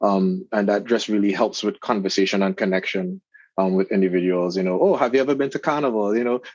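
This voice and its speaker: Trinidadian man, low voice, dry and slightly dull. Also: fast, dry recording. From Trinidad and Tobago. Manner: relaxed, friendly, talkative, frequent fillers. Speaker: guest. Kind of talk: casual interview conversation.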